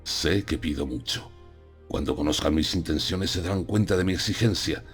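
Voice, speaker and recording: A low-pitched old professor played by a man speaks over prominent music. He is grave, courtly and resolute, a vampire hunter in a gothic horror audiobook, choosing his words with solemn deliberation. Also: normal speed, husky. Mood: solemn, courteous.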